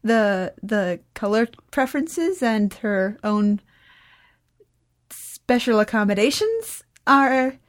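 High-voiced teenage girl, rambling. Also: very animated, slow pace. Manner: rambling, self-interrupting, dryly joking. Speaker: young podcast creator and guest. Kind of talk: casual chat.

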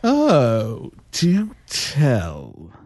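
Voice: mid-range voice, wildly swooping pitch, very slow, darkish tone, dry recording, heavily acted. A performer acting a jaded, impatient villainous interviewer with a curt, dry, formal manner in an amateur audio drama. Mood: sardonic.

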